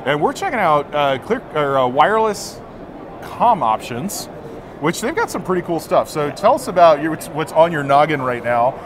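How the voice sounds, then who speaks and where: A man's voice: a little high, very animated, normal speed. A man in his forties hosting a casual on-location interview in a friendly, informal, agreeable way. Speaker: interviewer, presenter. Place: indoor trade show booth. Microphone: small wireless mic clipped on jacket. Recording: clear busy exhibition hall noise. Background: trade show floor ambience.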